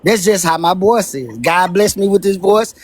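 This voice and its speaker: A man with a naturally high-pitched voice talking as a guest on a live stream, emphatic and blunt, repeating his points and swearing freely. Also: expressive, moderate pace, background noise. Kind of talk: heated casual chat.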